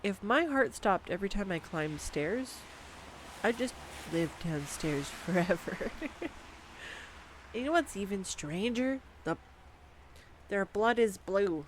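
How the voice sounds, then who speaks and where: A woman's voice: medium pitch, expressive, slow, long pauses, slight background noise. A young woman performing a scripted girlfriend roleplay monologue, chatty and giggly, talking to the listener. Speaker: voice actor playing a girlfriend. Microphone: studio condenser mic.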